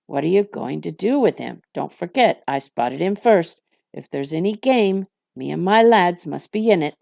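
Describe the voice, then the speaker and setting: A woman's voice: on the low side, lively intonation, normal speed, creaky, mellow, no room sound, clean studio sound. A woman voicing a sly, gloomy male orc captain from a fantasy storybook, needling and mocking as she speaks. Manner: sardonic, needling.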